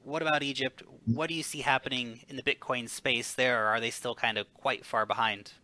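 A man interviewing a guest, animated and upbeat, stumbling over words now and then. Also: mid-range voice, a little fast, poor audio. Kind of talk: conversational interview.

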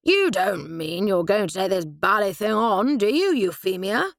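A woman voicing a fussy, older upper-class English gentleman who blusters and hesitates in old-fashioned phrasing. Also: medium pitch, big pitch swings, moderate pace, gravelly, no room sound, studio quality. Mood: flustered.

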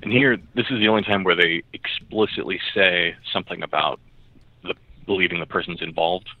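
Man as research co-host, low register, tentative casual explainer, heard over a muffled call line. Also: fairly even intonation, fairly quick, dry recording. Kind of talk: casual analytical discussion. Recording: muffled narrow phone-like line.

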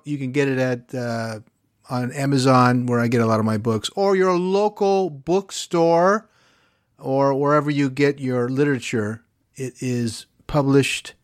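A man's voice: mid-range voice, no room sound. A male host talks in an enthusiastic, rambling way, full of fillers. His voice sits in a middle range. The recording is dry and close. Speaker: podcast host. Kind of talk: interview and show promotion.

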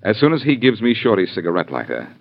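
An actor playing a cocky, teasing adventurer hero in a 1940s radio crime drama. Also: male voice, lowish voice, wildly swooping pitch, quick pace, vocal fry, full character voice. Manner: wry, teasing, unruffled. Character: cocky, smooth-talking adventurer hero, playfully defiant. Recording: muffled, hissy 1940s radio recording.

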